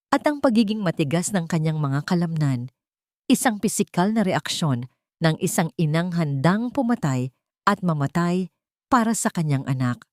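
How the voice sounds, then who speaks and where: A woman giving scripted, melodramatic narration of an audio story in a deliberate way. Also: on the low side, a little mellow, no echo, studio quality.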